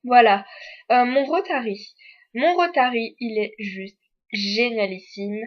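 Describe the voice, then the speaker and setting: A woman's voice: fairly high pitch, slow pace, lo-fi sound. A teenage girl from France, an exchange student, chatting candidly to the camera with many fillers. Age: teens. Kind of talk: casual chat to camera.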